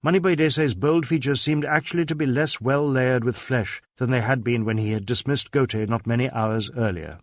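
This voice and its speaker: A man's voice: medium pitch, a little fast, hardly any pauses, no room sound, studio quality. A man reading a literary crime story as a scripted narration. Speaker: audiobook narrator.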